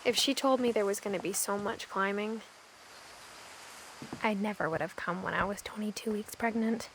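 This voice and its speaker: A woman's voice: mid-range voice, moderate pace, noisy background, close to an everyday voice. Casting: female actor as American woman in her twenties, mid-range voice, wry reflective first-person narration for a video game. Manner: wry, musing.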